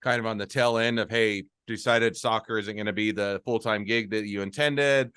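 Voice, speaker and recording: A man's voice: lowish voice, steady tone, normal speed, a bit bright, clean tone, no echo, studio quality. A man hosting a podcast interview, asking long rambling questions and often restarting them. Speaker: podcast host. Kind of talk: casual interview.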